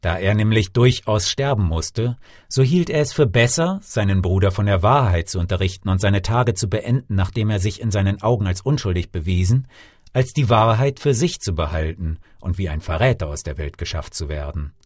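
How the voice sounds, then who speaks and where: A man reading a fairy tale as an audiobook narrator, with dramatic, expressive delivery. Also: on the low side, normal speed, no echo, studio quality. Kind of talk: scripted storytelling, audiobook reading.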